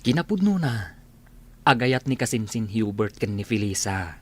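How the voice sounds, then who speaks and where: A man playing a calm, discreet young gentleman in an Ilocano radio drama, quiet and matter-of-fact. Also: lowish voice, normal speed, dry recording. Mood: calm confiding.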